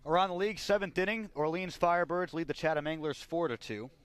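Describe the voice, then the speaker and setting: Higher-voiced American man calling baseball play-by-play. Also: quick pace, fairly bright tone, clean tone. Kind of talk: live radio sports commentary. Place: ballpark radio broadcast.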